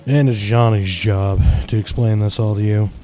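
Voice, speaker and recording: A man voicing a tall, courteous young henchman who speaks calmly and firmly in a dramatic story reading. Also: lowish voice, moderate pace, faint music behind, natural voice. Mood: calm, guarded. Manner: courteous, placating, firm. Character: tall polite young henchman in fedora, calm.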